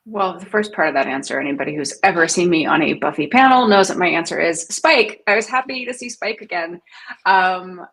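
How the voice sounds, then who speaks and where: Woman on a fan panel, lowish voice, just casually giving her take. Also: brisk, fairly bright tone, rough recording.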